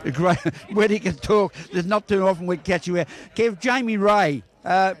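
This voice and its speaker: A man's voice: sits high, moderate pace. A man reporting on bowls, interviewing club members outdoors at a bowling green in a jovial, teasing way.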